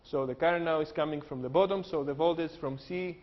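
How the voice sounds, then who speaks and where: A man with a Greek accent walks through an engineering lecture, joking early on and explaining step by step with plenty of fillers. His voice sits somewhat high. The recording is dull and lo-fi, with the long reverb of a lecture hall. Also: brisk. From Greece. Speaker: engineering professor. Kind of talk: technical lecture with jokes.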